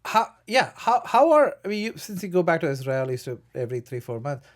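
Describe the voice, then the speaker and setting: A man hosting a conversational interview show, haltingly restarting his questions as he feels his way toward them. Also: fairly high pitch, very animated. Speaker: talk show host.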